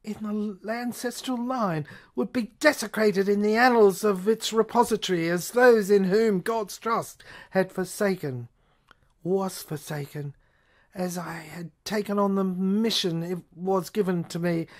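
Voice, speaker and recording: A woman performing dramatic first-person narration for a science fiction audio play, intense and halting, repeating phrases and at times dropping toward a whisper. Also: medium pitch, a little mellow.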